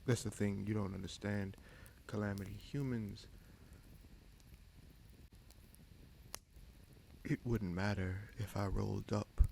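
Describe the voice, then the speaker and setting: An actor playing a wry, candid bastard prince in a fantasy audio roleplay, speaking in a measured, musing way with frequent pauses. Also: male voice, fairly low pitch, fairly even intonation, vocal fry, a little mellow, slight background noise, poor audio. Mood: reflective. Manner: measured, musing, pausing often mid-sentence. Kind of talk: fantasy audio roleplay, slow intimate monologue.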